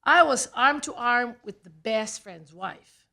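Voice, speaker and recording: A woman with a Brazilian accent, a native Portuguese speaker, reads a personal essay aloud at a live event, with deliberate phrasing and dramatic pauses. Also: fairly high pitch, lively intonation, vocal fry, pushed and bright. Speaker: writer reading own personal essay. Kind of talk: scripted storytelling read aloud at a live event. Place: small theatre, live reading event.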